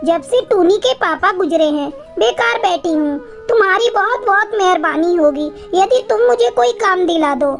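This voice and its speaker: Very high-voiced gentle cartoon mother bird played by a woman. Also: lively intonation, moderate pace, creaky, few pauses, exaggerated voice. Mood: humble, grateful. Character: gentle, devoted female bird, aunt or mother. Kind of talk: Hindi cartoon moral-story dubbing. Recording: music behind the voice.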